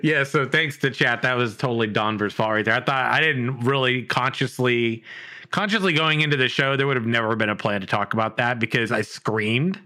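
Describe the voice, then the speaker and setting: A man in casual gaming chat, telling stories with lots of swearing and acted-out reactions. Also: a little fast. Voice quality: says he has a pretty deep voice. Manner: animated, profane storytelling with acted-out reactions.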